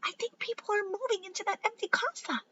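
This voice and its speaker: Woman reading as a spirited, high-pitched young twin girl. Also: fast talker, muffled recording. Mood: excited. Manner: excitable, emphatic, sprinkles in Spanish words.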